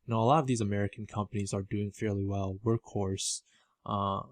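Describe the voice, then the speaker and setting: A man giving informal financial commentary as a video host, halting and often repeating words as he explains. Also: on the low side, flat delivery, no room sound, studio quality. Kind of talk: informal financial commentary over documents on screen.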